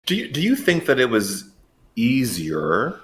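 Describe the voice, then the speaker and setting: A man's voice: mid-range voice, wildly swooping pitch, unhurried, fairly bright tone. A man hosting an interview, asking earnest, searching questions and repeating words as he thinks. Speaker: podcast host. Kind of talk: interview conversation.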